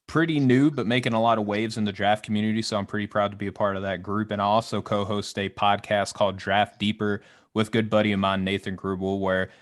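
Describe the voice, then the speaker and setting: Southern American man, slightly low voice. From Arkansas, US. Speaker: podcast guest, basketball draft analyst. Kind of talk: casual sports analysis.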